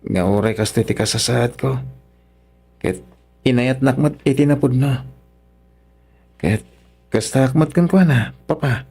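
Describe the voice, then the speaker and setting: A man's voice: mid-range voice, measured pace, long pauses, a little mellow, husky, soft background music. A man playing a humble, earnest young son-in-law of poor means in an Ilocano radio drama, respectful and hesitant, with an Ilocano accent.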